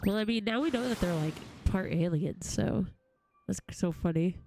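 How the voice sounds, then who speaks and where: A woman streaming a game with goofy, expressive, casual live commentary. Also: medium pitch, normal speed, a little hiss or hum.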